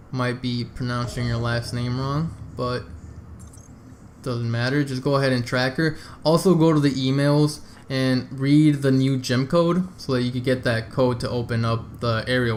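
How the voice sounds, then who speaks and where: This is a man hosting a video game walkthrough. He talks casually and informally, addressing viewers directly as he lays out each step. His voice sits at an ordinary middle pitch, with some noise behind it. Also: a little mellow.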